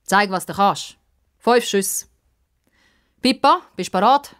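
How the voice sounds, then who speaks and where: A woman's voice: medium pitch, lively intonation, takes it slowly, a bit bright, smooth, voice pushed up. A woman voicing a kindly old man, a proud former football star who coaches with self-assurance, in a children's story. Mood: brisk.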